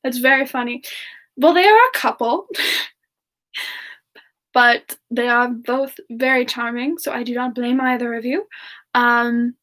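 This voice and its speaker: A woman playing a playful, affectionate and coy female demon siren, speaking softly and hesitantly in a semi-ASMR question-and-answer style. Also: on the high side, slow, long pauses. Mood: warm, amused. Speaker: host answering audience questions in character.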